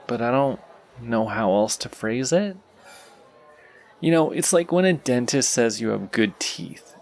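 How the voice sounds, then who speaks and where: A man playing a shy, anxious, sweet young stranger in an audio roleplay, talking hesitantly and self-consciously in a creaky voice straight to the listener. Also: mid-range voice, expressive, darkish tone. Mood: nervously rambling. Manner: hesitant, self-conscious, rambling, halting speech.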